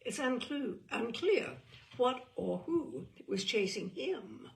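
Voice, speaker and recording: A woman reading a darkly comic story in a theatrical, deliberate, dramatic manner. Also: fairly high pitch, sing-song, vocal fry, echoey room. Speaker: storyteller, reader.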